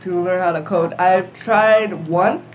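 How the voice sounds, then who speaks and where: A man's voice: high voice, steady tone, takes it slowly. A thirteen-year-old boy casually explaining things in a group chat. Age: 13, young teenager. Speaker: talk panelist. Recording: slightly dull audio with faint music.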